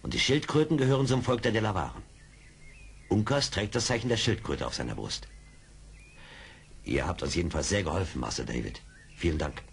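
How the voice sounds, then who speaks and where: An actor playing a calm, seasoned frontier scout in an audio drama, matter-of-fact and authoritative. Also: male voice, low-pitched, fairly quick, creaky, long pauses, slight background noise. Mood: explaining. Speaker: actor in a radio play. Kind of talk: 1970s German audio drama acting.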